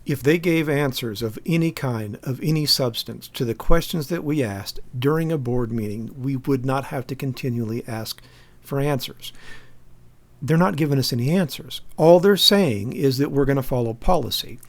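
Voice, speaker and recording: A man's voice: mid-range voice, normal speed. American man, insistent commentator. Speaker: activist commentator. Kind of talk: critical spoken commentary.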